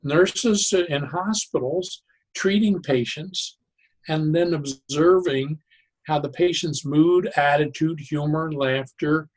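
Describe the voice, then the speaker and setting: A man explaining ideas conversationally as an interview guest, in an animated, halting way, often repeating and interrupting himself. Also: fairly high pitch, low-quality recording. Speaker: podcast guest, psychologist turned joy advocate.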